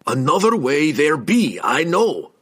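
A man voicing a gruff, stubborn male dwarf in a storybook reading, with a growling delivery. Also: fairly high pitch, very animated, unhurried, fairly bright tone, pitched above the performer's own voice, exaggerated voice.

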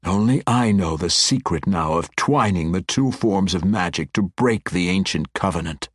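A man voicing an old, menacing archmage who speaks deliberately and with command in a fantasy audiobook. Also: deep voice, animated, breathy edge, dry recording, studio quality. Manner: domineering, deliberate.

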